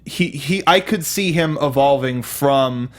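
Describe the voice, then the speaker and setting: A man's voice: medium pitch, unhurried. A man co-hosting a review show, chatting casually and animatedly about films. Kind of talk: casual film discussion.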